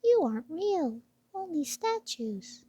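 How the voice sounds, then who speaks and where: A woman voicing a small, fussy but tender-hearted mouse with a squeaky voice and short, emphatic phrases in a children's storybook. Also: big pitch swings, slow, dark tone, heavily acted. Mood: dismissive.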